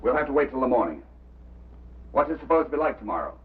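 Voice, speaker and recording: An actor playing a stern, impatient boss of a flying operation in a 1950s drama, curt and sarcastic, on a noisy vintage soundtrack. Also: male voice, mid-range voice, sing-song, fast talker, phone-quality sound, natural voice. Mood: irritated, sarcastic. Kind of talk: 1950s television drama acting.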